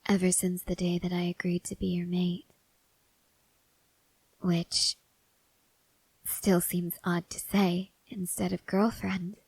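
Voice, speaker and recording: A woman playing a stoic, devoted young woman soldier in an intimate ASMR roleplay, speaking softly and hesitantly, often half-whispering. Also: fairly low pitch, level tone, takes it slowly, vocal fry, long pauses, husky, no echo, full character voice, rough recording. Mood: tender, quiet. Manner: hushed, hesitant, gently reserved.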